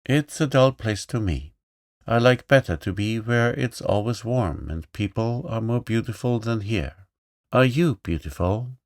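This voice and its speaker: A man's voice: fairly low pitch, lively intonation, unhurried, dry recording, clean studio sound. A man reading aloud, voicing an impetuous, bossy little girl who talks frankly and eagerly.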